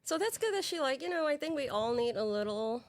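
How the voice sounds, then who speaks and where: A woman's voice: sits high, big pitch swings, a little fast, dry recording, clean studio sound. A woman from Singapore chatting casually as a guest on a podcast. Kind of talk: casual chat.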